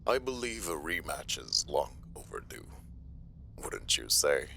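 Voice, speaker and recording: Casting: menacing crime villain for audio roleplay, gravelly fry, theatrical mocking politeness, faint noise underneath. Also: male voice, very deep voice, sing-song, sharp tone, heavily acted. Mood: smug challenge. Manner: theatrical, slow menacing taunts with polite mockery. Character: menacing, gloating male mobster villain, taunting his brother.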